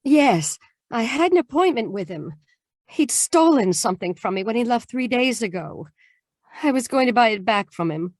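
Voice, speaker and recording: Noir leading lady: proud, secretive wife, slightly high voice, very animated, dramatic radio-play delivery. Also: moderate pace, dry recording, clean studio sound. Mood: guarded. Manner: dramatic, haughty, emotional delivery. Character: proud, troubled married woman, noir damsel with secrets.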